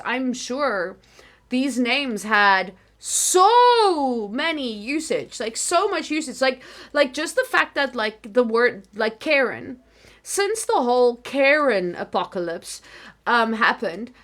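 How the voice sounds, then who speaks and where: A woman's voice: on the high side, a bit bright. A young woman hosting a casual livestream, thinking aloud in a rambling way with many fillers. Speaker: livestream host.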